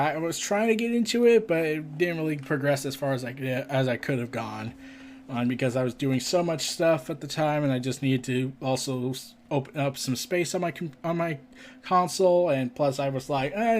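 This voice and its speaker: High-voiced man presenting news, noisy recording. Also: a little mellow. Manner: stumbling when reading aloud, with chatty asides. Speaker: podcast host. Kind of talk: casual entertainment and gaming news commentary.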